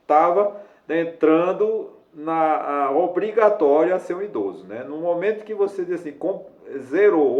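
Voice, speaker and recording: A man's voice: a little high, a little mellow, roomy sound, lo-fi sound. Rambling man in his sixties. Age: 60s. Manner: rambling, many fillers and self-corrections. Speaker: lawyer explaining elderly law. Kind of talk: casual explanatory video lesson.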